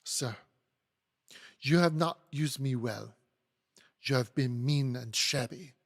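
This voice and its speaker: Guy doing a disdainful french maid, scornful and pushy, raspy, echoey room, storybook character. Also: mid-range voice, slow pace. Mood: accusing. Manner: scornful, insistent, foreign phrasing. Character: fierce, disdainful French maid, a cunning vixen-like woman.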